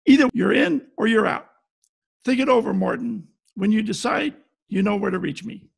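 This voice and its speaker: A man playing a cynical, tough-talking private detective in a wry, deadpan 1940s radio style. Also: high voice, moderate pace, vocal fry, breathy edge, exaggerated voice, rough recording. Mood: cold, hard bargaining. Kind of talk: 1940s detective radio acting, narration and dialogue. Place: home, recorded over video call. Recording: video call recording with faint music behind.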